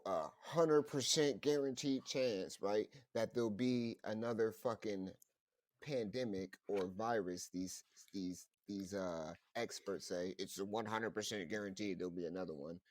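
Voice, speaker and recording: A low-voiced man co-hosting a loose podcast chat. He talks in an animated, profane way, laughing often. The sound is dry and close. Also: measured pace, no room sound. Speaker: podcast co-host. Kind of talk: casual chat.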